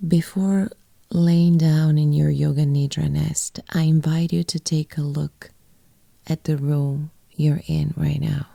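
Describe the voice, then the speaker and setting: Very slow, low, creaky woman guiding meditation. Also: steady tone, dry recording, clean studio sound. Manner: slow, gentle phrases with long pauses, often near-whispered. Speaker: yoga nidra teacher and guide. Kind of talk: guided meditation, soft and soothing.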